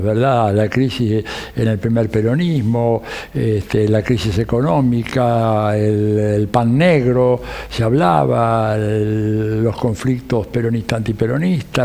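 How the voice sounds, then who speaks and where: Gravelly older man, Argentine-Italian accent. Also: medium pitch, mellow. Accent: Argentine Spanish with slight Italian inflections. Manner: measured, thinking aloud with frequent restarts. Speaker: guest political scientist. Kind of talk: reflective interview answers.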